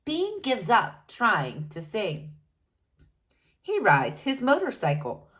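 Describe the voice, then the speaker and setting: A woman reading a children's storybook aloud, narrating deliberately and expressively for young listeners. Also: medium pitch, huge pitch swings, fairly bright tone.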